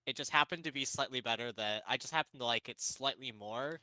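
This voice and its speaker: A man in casual podcast chat with a very bright, sharp tone, recorded dry and close. He rambles, piling up "like" between every few words. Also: mid-range voice, brisk, no room sound. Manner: rambling, heavy use of "like". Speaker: podcast co-host. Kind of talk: casual chat reviewing anime.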